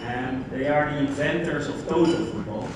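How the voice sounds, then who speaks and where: A man's voice: medium pitch, moderate pace. Dutch guy doing a conference talk, chatty, asks the crowd stuff, mic kinda far off. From Netherlands. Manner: conversational, addresses the audience directly with questions. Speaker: conference speaker. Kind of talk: conference keynote presentation. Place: large conference hall. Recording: very echoey hall, distant mic, background noise.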